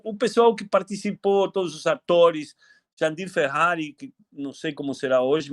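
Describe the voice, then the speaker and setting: Older Argentine-born director, fairly high voice, halting fond recollection. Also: male voice. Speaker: film director at a panel. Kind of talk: reminiscing in a panel talk.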